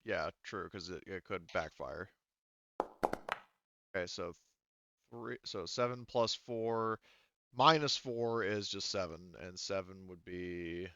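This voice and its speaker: A man's voice: sits low, takes it slowly, long pauses, pushed and bright, clean tone, dry recording, muffled recording. A man speaking casually as a tabletop game master, thinking aloud hesitantly as he works through the rules.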